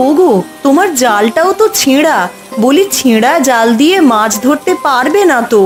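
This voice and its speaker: A woman's voice: high-pitched, animated, moderate pace, faint music behind. A woman playing a concerned village wife and mother in a cartoon story, with a homely, anxious way of questioning. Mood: worried. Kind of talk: Bengali cartoon story dubbing.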